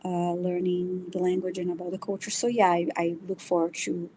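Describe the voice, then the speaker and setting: A woman's voice: on the low side, little pitch movement, brisk, mellow, slight background noise. A middle-aged woman from Brazil with a Brazilian Portuguese accent, chatting casually as a guest and hesitating often with fillers and restarts. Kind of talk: casual interview chat.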